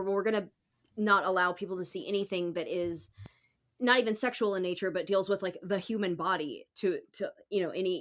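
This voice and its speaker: A woman on a podcast panel discussing politics in an informal, opinionated way. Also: on the low side.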